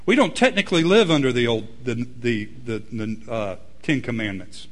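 A man preaching a sermon, swinging between loud emphatic peaks and low quiet asides. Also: lively intonation, normal speed. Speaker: pastor.

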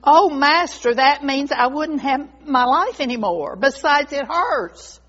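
A woman's voice: mid-range voice, lively intonation, normal speed, slightly rough, long reverb tail. A woman voicing a proud, devoted bamboo tree in a storybook tale, speaking in an earnest, dramatic way. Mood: anguished pleading. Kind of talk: storybook character voice. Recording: dull lo-fi recording.

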